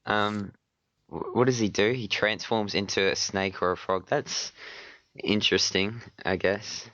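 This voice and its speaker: A man co-hosting a casual podcast chat, sick and partly hoarse, talking with hesitant, stammering restarts. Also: fairly low pitch, steady tone, measured pace, sharp tone, no echo, lo-fi sound. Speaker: podcast co-host.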